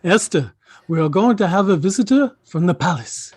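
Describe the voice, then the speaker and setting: An emphatic middle-aged uncle in a stage drama acted by a man, coaxing and indignant by turns. His pitch swings widely as he pleads and repeats himself, and the sound is dry and close. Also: expressive, moderate pace, darkish tone, slightly rough, no room sound. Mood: excited, pleased. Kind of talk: theatrical stage play reading.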